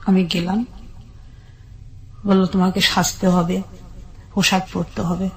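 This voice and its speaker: A woman with a Bangladeshi accent tells her personal story in an interview, measured and step by step in short phrases. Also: lowish voice, flat delivery, darkish tone. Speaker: guest telling her life story.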